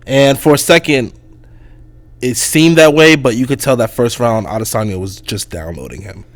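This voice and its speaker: A man hosting a casual sports podcast, talking through a hoarse voice in a loose, rambling way with lots of fillers. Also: medium pitch, normal speed, dry recording. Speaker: podcast host.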